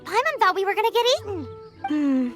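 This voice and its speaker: Perky little female game fairy, childlike squeak, bouncy melodic delivery, clear background score. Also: very animated, a little fast. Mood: relieved, curious. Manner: perky, speaks of herself in third person. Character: tiny chirpy female fairy companion, eager and childlike. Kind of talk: animated game character dialogue. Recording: music behind the voice.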